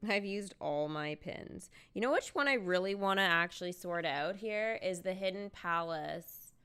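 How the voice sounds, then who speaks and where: A woman's voice: on the low side, lively intonation, normal speed, a bit bright, smooth. A woman streamer chatting casually and thinking aloud while she plays a game live.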